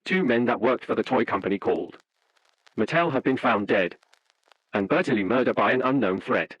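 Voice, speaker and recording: A man's voice: medium pitch, expressive, moderate pace, a bit bright, slightly rough, dry recording, muffled recording. A man playing an emergency broadcast announcer, reading a scripted alert in a formal way. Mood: formal, serious.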